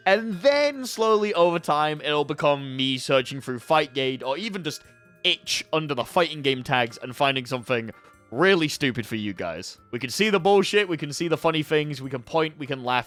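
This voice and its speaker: Casting: young male gaming host, a bit higher voice, sick and slightly funky-sounding, laid-back, swears, light music underneath. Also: fairly bright tone. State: sick with a headache. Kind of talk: casual talk to camera.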